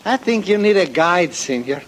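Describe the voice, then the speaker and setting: A sly Mexican horse renter. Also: male voice, high-pitched, lively intonation, moderate pace, fairly bright tone, slight background noise. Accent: Mexican Spanish. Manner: wheedling, insinuating. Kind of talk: 1940s radio acting. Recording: old radio broadcast with music.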